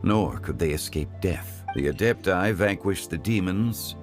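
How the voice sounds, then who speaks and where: An actor playing a dignified, scholarly male consultant in a video game, speaking in a calm, measured, formal way. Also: low-pitched, over music. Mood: solemn.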